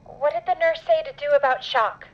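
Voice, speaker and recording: A woman playing a practical, concerned radio show colleague in a horror game, heard through a filtered line. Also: high voice. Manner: brisk, practical. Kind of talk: dramatic horror game voice acting. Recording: dull, filtered line with music.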